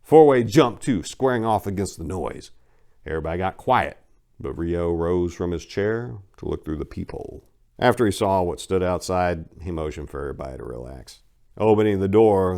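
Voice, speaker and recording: Low-pitched man narrating gritty crime fiction. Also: darkish tone. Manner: profane Southern street vernacular.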